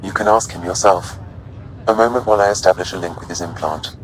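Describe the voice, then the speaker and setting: A very deep voice for a calm machine mind, acted by a man. It speaks in formal, measured, clinical sentences, as in a science fiction video game. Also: natural voice, poor audio. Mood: clinical, composed. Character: calm male artificial intelligence linked to brain implants.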